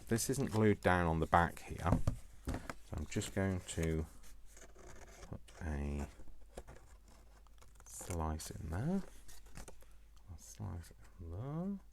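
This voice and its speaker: Very deep-voiced man chatting while crafting. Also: sing-song, extremely slow, long pauses, a little hiss or hum. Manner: playful muttering to himself in short repeated phrases. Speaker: craft tutorial host. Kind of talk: casual chatty crafting demonstration, thinking aloud.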